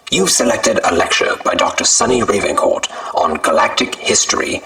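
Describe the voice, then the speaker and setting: A man acts as a university's automated announcer in a sci-fi audio drama. His voice is deep and crisp-edged, set a little away from the mic. He delivers scripted information formally. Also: bright, forceful tone, far from the mic, full character voice, rough recording.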